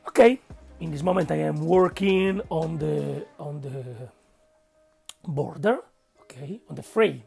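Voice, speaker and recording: A man with an Italian accent narrating a painting tutorial, speaking haltingly and searching for words, with frequent checks of "okay?". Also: on the high side, creaky, darkish tone, faint music behind. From Italy. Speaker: miniature painting instructor.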